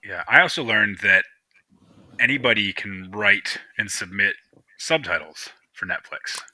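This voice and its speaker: A man chatting on a podcast, laid-back and agreeable, often trailing off quietly. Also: lowish voice, a little slow, dry recording, rough recording. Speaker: podcast co-host. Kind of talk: casual chat.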